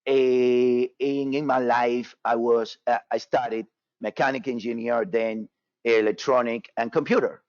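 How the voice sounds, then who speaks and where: A man's voice: medium pitch, steady tone, measured pace, a little mellow, no room sound. Cuban-born guy running a trading webinar, keeps restarting, dry muffled sound. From Cuba. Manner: casual, repetitive, filler-heavy with many restarts. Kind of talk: live webinar talk.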